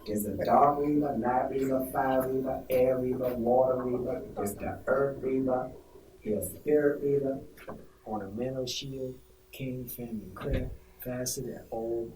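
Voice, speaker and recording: A man's voice: medium pitch, steady tone, a little slow, a little mellow, distant mic, a little hiss or hum, rough recording. A man clowning around between takes in a voice recording session, dropping into whispers and silly voices. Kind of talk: studio outtakes, joking between takes.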